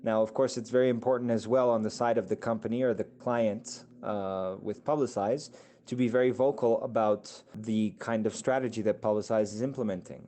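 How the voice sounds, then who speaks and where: Male account manager for an explainer podcast. Lower register, very soft-edged, mellow tone. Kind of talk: explanatory company podcast answer.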